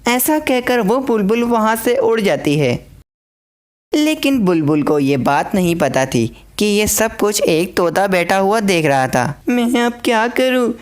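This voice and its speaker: A woman's voice: medium pitch, very animated, unhurried. A woman telling a children's story as a scripted narrator.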